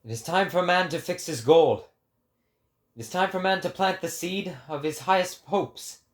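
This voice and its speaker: A man's voice: high-pitched, normal speed, close to an everyday voice. A man voicing an impassioned, solemn prophet in a dramatic reading, with declamatory and emphatic phrasing. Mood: exalted, solemn. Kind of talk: dramatic reading of philosophical prose.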